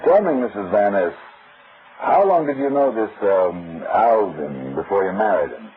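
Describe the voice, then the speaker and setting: An actor playing a wry, skeptical private detective in an old-time radio drama, talking in blunt, sardonic slang. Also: male voice, on the low side, expressive, moderate pace, slightly rough, full character voice. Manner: slangy, sardonic, hard-boiled. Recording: muffled, noisy vintage radio recording.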